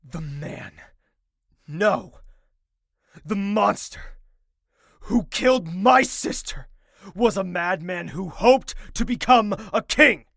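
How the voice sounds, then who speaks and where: A man's voice: high-pitched, lively intonation, slow, vocal fry, pauses often, fairly bright tone, dry recording, studio quality, heavily acted. A man playing a grieving, bitter young prince in an audio drama, brooding and intense. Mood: anguished rage. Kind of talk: audio drama acting with narration. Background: music.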